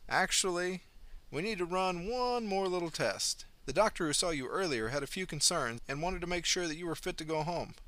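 A man's voice: sits high, animated, moderate pace, sharp tone, pitched above the performer's own voice. A man voicing a stern doctor with a too-kind manner. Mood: courteous. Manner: overly polite, reassuring. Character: stern muscular male doctor, smoothly polite.

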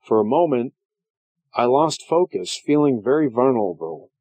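A man narrating a fantasy story as a stout, proud dwarf adventurer, in a measured, dramatic storytelling manner. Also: medium pitch, expressive, mellow, slightly rough, no echo. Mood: uneasy, reflective. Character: stout, hardy, proud male dwarf adventurer telling his tale. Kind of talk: scripted first-person fantasy story narration.

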